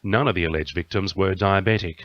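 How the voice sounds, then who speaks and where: Low-voiced Australian male newsreader. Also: brisk, no echo, muffled recording. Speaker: news reader. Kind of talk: scripted news bulletin reading.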